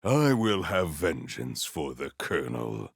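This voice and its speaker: A man voicing a stoic, honourable warrior in a video game, speaking in formal, stately phrases with a gravelly voice. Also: extremely low pitch, sing-song, no room sound, clean studio sound, full character voice. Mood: solemn. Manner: formal, stately, measured diction.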